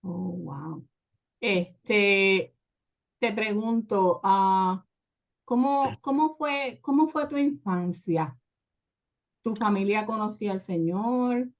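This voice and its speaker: Church show host lady, lots of este and verdad, mid pitch. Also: slow pace, gravelly. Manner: chatty, filler-heavy, says "¿verdad?" often.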